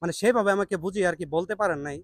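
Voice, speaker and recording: Young male vlogger, Bangladeshi accent. High-ish register, brisk delivery, casual and slightly hesitant, dry sound. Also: dry recording. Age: young adult. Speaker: prank vlogger. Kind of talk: casual spoken prank call and vlog intro.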